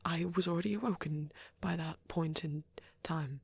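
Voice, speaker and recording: A woman voicing a shy young male vampire boyfriend in an ASMR roleplay, rambling softly and haltingly in a breathy near-whisper, with stammers and restarts. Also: deep voice, no echo, heavily acted. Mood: flustered, bashful. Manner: hesitant, flustered, halting, with many stammers and trailing restarts.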